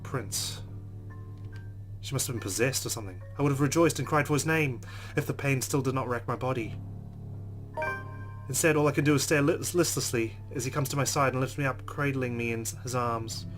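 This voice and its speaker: A man's voice: medium pitch, a little fast, music in the background. A man hosting a let's play, reading a visual novel's text aloud dramatically and adding his own commentary.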